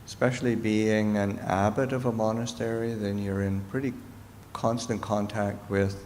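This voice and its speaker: A middle-aged Canadian-raised monk answers questions slowly and thoughtfully, pausing often. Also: male voice, lowish voice, flat delivery, a little mellow. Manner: slow, halting, thoughtful speech with pauses. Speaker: Buddhist monk and teacher. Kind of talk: Dharma talk Q&A answer. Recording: reverberant hall with faint noise.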